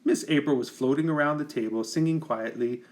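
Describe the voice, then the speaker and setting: A man reading a children's picture book aloud like a teacher, with expressive, deliberate emphasis for young listeners. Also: fairly high pitch. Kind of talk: expressive children's storybook narration.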